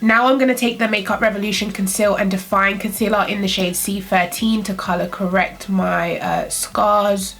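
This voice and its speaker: A woman's voice: mid-range voice, steady tone, moderate pace, hardly any pauses, a bit bright. A young woman chats cheerfully to her viewers as she works through a makeup routine. Her voice sounds blocked up and stuffy from a cold. She talks in a friendly, upbeat way straight to camera. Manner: chatty, upbeat, addresses viewers as girlies and guys. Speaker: beauty vlogger. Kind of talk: casual makeup tutorial chat.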